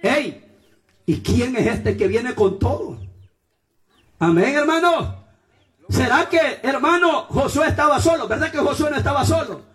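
A man preaching a fervent Pentecostal sermon, exclaiming and often shouting, breaking in with praise and rhetorical questions. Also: very high voice, animated, a little slow, pauses often.

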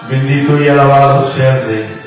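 A man's voice: medium pitch, steady tone, normal speed. A man from Guatemala, preaching a radio sermon in a fervent, sing-song cadence. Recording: echoey, distant radio broadcast with faint music.

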